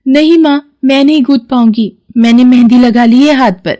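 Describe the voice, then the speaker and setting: A woman doing a gentle, pleading young daughter. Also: high voice, normal speed, voice pushed up, natural voice. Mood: coaxing. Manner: coaxing, pleading.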